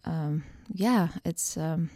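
A woman from Ukraine, a political science professor, answering radio interview questions thoughtfully with frequent pauses and fillers. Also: low voice, mellow, dry recording, studio quality. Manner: thoughtful, with many hesitations and fillers.

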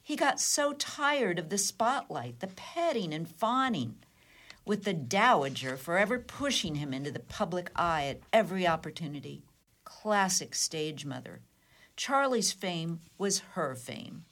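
A woman's voice: lowish voice, sing-song, measured pace, a bit bright, full character voice. A woman playing a defiant, flippant suspect in a comedic radio play, wry and haughty with clipped retorts. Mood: dismissive, sardonic. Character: defiant, flippant, sardonic woman under police questioning.

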